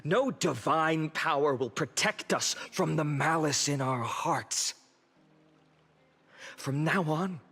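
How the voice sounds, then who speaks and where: An actor playing an earnest young heroic leader in a fantasy game, giving a solemn, measured speech to his city. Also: a little high, bright, forceful tone, husky, exaggerated voice. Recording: long hall reverb with music behind. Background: music.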